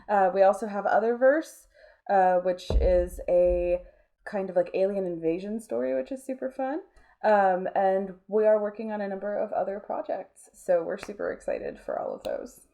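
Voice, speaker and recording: A woman's voice: medium pitch, normal speed, warm tone. A woman talking casually as an interview guest, informal and rambling, with a self-deprecating way about her. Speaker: interview guest, audio drama producer.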